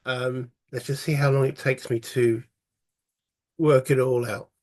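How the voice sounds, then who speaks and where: A man, croaky from a cold, casually talking through a solo board game and thinking aloud with many fillers. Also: medium pitch, little pitch movement, measured pace, darkish tone. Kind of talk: casual tabletop playthrough commentary.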